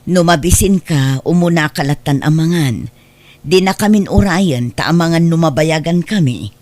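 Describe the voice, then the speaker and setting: A woman with an Ilocano accent playing an anxious, secretive middle-aged rural mother in an audio drama, with a husky voice. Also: sits low, expressive, moderate pace, a little mellow, no echo. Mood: caring, instructive. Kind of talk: Ilocano radio-style drama acting.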